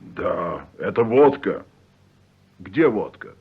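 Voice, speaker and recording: A man's voice: sits low, very animated, takes it slowly, slightly rough. A man speaking as a professorial lecturer in a scripted 1950s radio language lesson, in a declamatory way. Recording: muffled 1950s radio recording.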